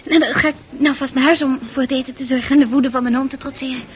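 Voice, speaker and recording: A high, youthful female voice played by a radio actress, that of a troubled girl of eighteen. She speaks in a hesitant, wistful way, guarded yet longing to get away, in radio play style with faint music behind her. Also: steady tone, fast, natural voice. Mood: hopeful, determined. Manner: hesitant, wistful delivery with repeated words.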